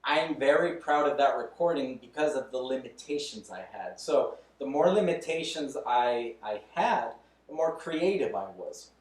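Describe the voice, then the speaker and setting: A man's voice: mid-range voice, lively intonation, normal speed. A man in his thirties talking loosely and candidly as a podcast guest, chuckling between thoughts. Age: 30s. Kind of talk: casual interview chat. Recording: roomy echo, mic at a distance.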